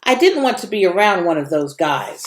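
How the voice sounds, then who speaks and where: Animated woman reading aloud, echoey room. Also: fairly low pitch, moderate pace, slight background noise. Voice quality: animated, varied intonation. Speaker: educator reading a children's book aloud. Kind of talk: expressive read-aloud narration.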